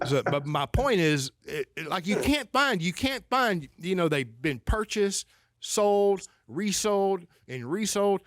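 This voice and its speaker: A man's voice: high-pitched, sing-song, slow, fairly bright tone, no room sound, studio quality. A man with a Southern accent, a financial advisor, talking in a rambling way, restarting sentences and often asking "right?". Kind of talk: casual conversation.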